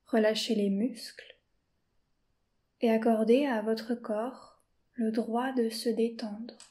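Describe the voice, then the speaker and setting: A woman teacher guiding a yoga nidra session in a slow, soft-spoken, calm way, often dropping to a whisper. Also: fairly high pitch. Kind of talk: guided meditation.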